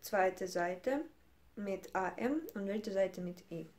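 Slow-talking young woman from Ukraine teaching guitar. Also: mid-range voice. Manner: slow, careful non-native speech with hesitations. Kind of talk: guitar technique lesson. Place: small room indoors. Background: classical guitar playing.

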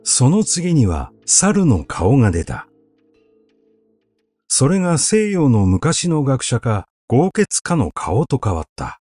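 Guy reading old literature aloud, slow n measured, really swooping intonation, dry close sound w music under it. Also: medium pitch, wildly swooping pitch, warm tone, slightly rough, dry recording. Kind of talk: literary recitation of a classic essay. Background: background music.

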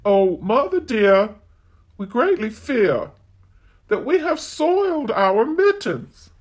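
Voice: mid-range voice, big pitch swings, slow pace, pitched above the performer's own voice. A storyteller doing plaintive little kittens. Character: sad little kittens speaking together.

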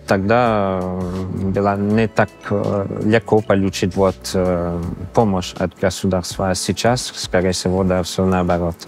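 Canadian man, halting foreign speech. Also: deep voice, flat delivery, darkish tone, soft background music. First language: not Russian. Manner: halting, searching for words with many fillers. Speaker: interview guest. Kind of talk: casual interview answers. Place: exhibition hall indoors. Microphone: lapel.

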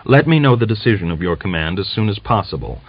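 A man's voice: fairly low pitch, very animated, fast talker, husky, dry recording, full character voice. A man voicing an earnest, anxious, formal army officer in an audiobook novel. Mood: urgent, polite. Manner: formal, emphatic, persuasive. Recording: dull lo-fi audiobook recording.

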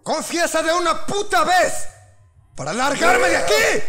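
A man's voice: extremely high pitch, expressive, measured pace, sharp tone, soft background music, full character voice. A man with a Latin American Spanish accent voicing a ruthless young prosecutor in a film dub, taunting and intimidating a suspect with vulgar contempt. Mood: furious. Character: ruthless young male prosecutor, menacing, explosively angry interrogator. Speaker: fan dub voice actor. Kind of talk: dramatic film dub acting.

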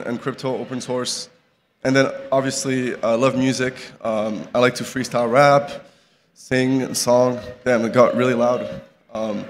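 A young man gives a relaxed conference talk from a podium microphone. He talks loosely, restarting phrases often. His voice rings out in a large hall with a faint hum of ambience. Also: mid-range voice. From Morocco. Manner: casual, many restarts and fillers. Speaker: conference speaker. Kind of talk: live conference talk with slides. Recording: reverberant hall, faint background noise.

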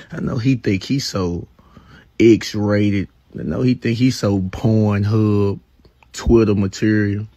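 A man's voice: lowish voice, darkish tone, dry recording. A man doing a joking, mocking social media rant.

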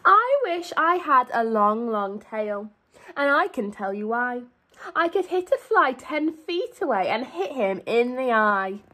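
A young woman reads a children's story aloud in a high voice. Her pitch moves in big, lively swoops. She speaks like a primary teacher, stressing and lengthening key words so that young listeners can follow. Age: young adult. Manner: expressive, drawn-out emphasis on key words.

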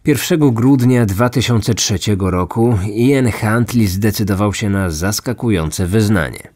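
A man narrating a scripted true crime story as podcast host, in a measured, serious manner. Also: lowish voice, a little mellow. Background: background music.

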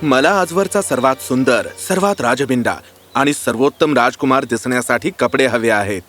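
An actor playing an earnest, charming young prince with a courteous, romantic manner in a fairy-tale cartoon. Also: male voice, mid-range voice, normal speed, fairly bright tone, faint music behind, natural voice. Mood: anxious, eager.

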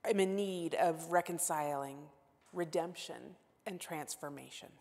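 A woman in her forties giving a sermon as guest preacher, earnest and self-deprecating, moving between emphatic lines and hushed asides. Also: fairly low pitch, a little slow. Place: church sanctuary. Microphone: lapel mic. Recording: long church-hall reverb.

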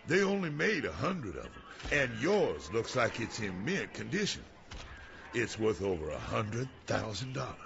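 An actor playing a wry, seasoned police officer in a radio drama, chiding in a folksy way. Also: male voice, on the low side, very animated, unhurried, gravelly, noisy background, heavily acted. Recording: dull radio drama sound with music.

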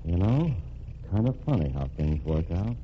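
A man's voice: low-pitched, big pitch swings, normal speed. An actor playing a cocky, bitter jailed gangster in 1940s radio drama style, with slangy tough-guy talk. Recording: dull lo-fi old radio recording with faint hiss.

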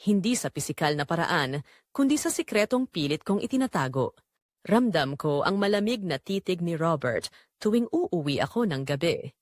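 A woman's voice: fairly low pitch, very animated, normal speed, no room sound, studio quality. A woman narrating a scripted dramatic story in the first person.

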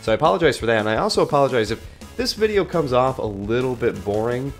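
A man in his thirties with a stuffy nose from a cold, hosting and talking through a game he plays, greeting lively at first and then musing quietly to himself, recorded on a studio mic in a room at home. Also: fast talker, soft background music. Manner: lively greeting, then low muttered thinking aloud while playing. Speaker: let's play host. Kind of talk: gameplay commentary. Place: home room with shelves of plush toys, indoors. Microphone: large studio mic on a boom arm.

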